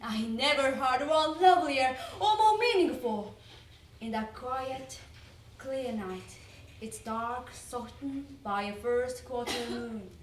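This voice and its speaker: A woman's voice: sits high, lively intonation, slow. A woman giving a theatrical, emphatic dramatic reading of a wartime letter as part of a readers theater. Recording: roomy, distant mic, faint noise.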